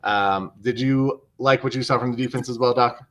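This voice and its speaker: A man co-hosting a sports show on a video call, explaining his take in a measured way and repeating phrases for emphasis. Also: mid-range voice, steady tone, a bit bright. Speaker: co-host and sports commentator. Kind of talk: casual sports analysis over video call.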